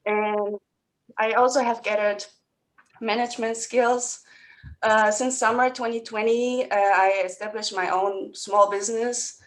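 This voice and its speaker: A woman's voice: a little high, fairly even intonation, measured pace, rough recording. Upbeat woman candidate. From Germany. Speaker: student candidate. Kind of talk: prepared self-introduction speech. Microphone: video call.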